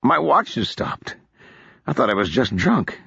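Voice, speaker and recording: A man voicing a drunken, foppish male officer, hungover and carefree, in a husky voice. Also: mid-range voice, very animated, unhurried, fairly bright tone, no echo, muffled recording, pitched above the performer's own voice, full character voice. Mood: whiny.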